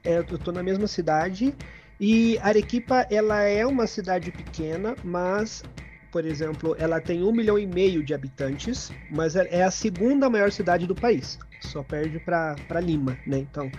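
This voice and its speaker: A man's voice: high voice, moderate pace, darkish tone. A man with a Brazilian Portuguese accent, telling personal stories in a conversational way as an interview guest. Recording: dull lo-fi audio with music behind.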